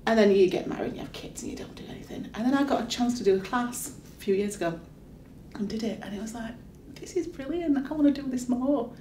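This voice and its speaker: Lady chatting, soft dark tone, echoey, bit far from mic. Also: fairly high pitch, fast talker. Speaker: burlesque performer. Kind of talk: casual interview talk. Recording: echoey, distant mic, faint noise.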